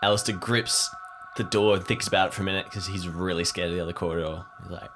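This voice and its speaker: A man's voice: sits low, steady tone, quick pace, music in the background. A man taking part in an improvised audio drama as a player, talking casually through his character's choices. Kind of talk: casual tabletop roleplay.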